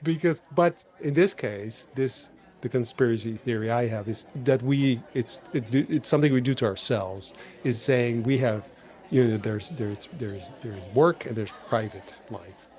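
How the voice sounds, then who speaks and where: A man co-hosting a podcast in casual chat, rambling and animated, restarting his sentences and laughing. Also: medium pitch, measured pace. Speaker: podcast co-host.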